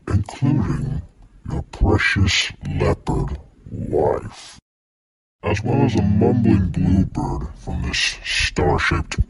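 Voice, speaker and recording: Man as vengeful alien villain, very low voice, slow theatrical delivery, gravelly growl, game-style character monologue. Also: very noisy. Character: menacing, vengeful alien psychic mastermind villain. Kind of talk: fan character voice clips, dramatic monologue.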